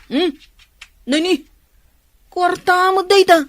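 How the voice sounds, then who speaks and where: A woman acting an excitable, easygoing motorbike rider in a village radio comedy drama, exclaiming with drawn-out delight. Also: very high-pitched. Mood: pestering.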